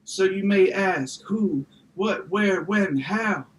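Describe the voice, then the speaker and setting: High-voiced man performing slam poetry. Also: takes it slowly, a little hiss or hum, rough recording. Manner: rhythmic, emphatic spoken-word delivery. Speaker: slam poet. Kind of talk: rhyming slam poetry recitation.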